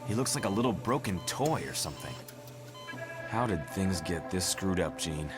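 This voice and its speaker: Male actor as troubled young hero, low and serious, prominent music underneath. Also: animated, normal speed. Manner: serious, anxious. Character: troubled, earnest young male team leader. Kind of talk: motion comic voice acting.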